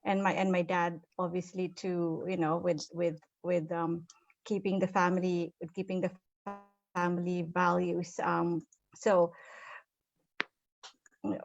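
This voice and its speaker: A woman speaking as a panel guest, halting with many repeats and fillers. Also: fairly low pitch, flat delivery, vocal fry, dull audio. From Philippines. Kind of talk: casual panel chat.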